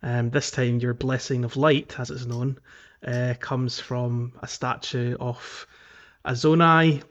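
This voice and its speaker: A man's voice: mid-range voice, steady tone, slow pace, fairly bright tone. A man co-hosting a podcast, discussing a game casually in a hesitant, explanatory way with meandering phrasing. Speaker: podcast co-host. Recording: dull, band-limited voice recording.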